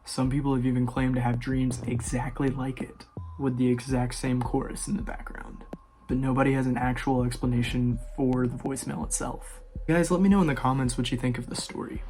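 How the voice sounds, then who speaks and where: A man's voice: medium pitch, a little mellow. Man telling scary stories, casual and informal. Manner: informal, addresses viewers directly. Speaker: horror story YouTuber, host. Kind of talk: casual scary-story narration to viewers.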